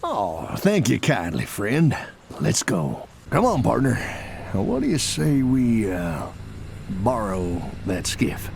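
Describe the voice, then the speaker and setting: A man's voice: lowish voice, huge pitch swings, slow, vocal fry, a little mellow, a little hiss or hum, full character voice. A man voicing a sly, folksy smuggler robot in a cartoon game, wheedling like an oily salesman. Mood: sly, pleased.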